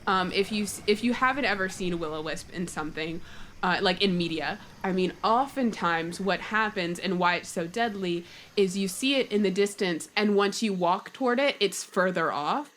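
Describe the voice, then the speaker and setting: A woman's voice: mid-range voice, moderate pace, a bit bright, a little hiss or hum. A woman speaking as a podcast guest in a casual panel chat, informal and conversational.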